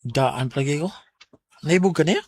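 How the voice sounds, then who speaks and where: A man's voice: a little high, moderate pace, close to an everyday voice. An actor playing an easygoing, teasing young man who flusters easily, with a Cebuano accent, in radio drama style. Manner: animated, teasing, exclamatory. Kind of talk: Bisaya radio drama acting. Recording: noisy radio drama recording.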